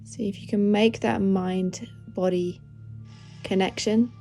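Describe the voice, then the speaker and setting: A woman leading a guided meditation in a gentle, soothing, unhurried manner of instruction. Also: mid-range voice, fairly even intonation, creaky, soft background music. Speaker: meditation guide, podcast host.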